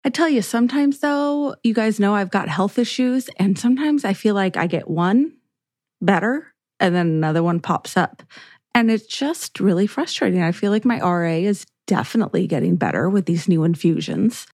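A woman's voice: normal speed, no echo, studio quality. A woman hosting her own skincare podcast, talking casually to listeners and laughing often as she goes. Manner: chatty, laughs often, lively pitch swings. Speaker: podcast host, esthetician. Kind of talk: casual solo podcast chat.

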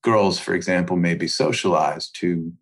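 A man speaking as an expert interview guest, explaining in precise, measured academic phrases with deliberate pauses. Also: low voice, steady tone.

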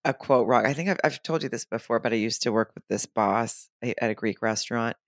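A woman's voice: very deep voice, fairly quick, no room sound, clean studio sound. A woman co-hosting a podcast, chatting casually and rambling through stories with laughs between points. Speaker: podcast co-host. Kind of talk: casual recap chat.

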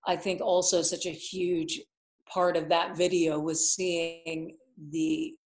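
A woman's voice: lowish voice, level tone, slow. A woman hosting an interview, talking earnestly and rambling with fillers and restarts. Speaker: podcast host. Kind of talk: casual interview. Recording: roomy echo.

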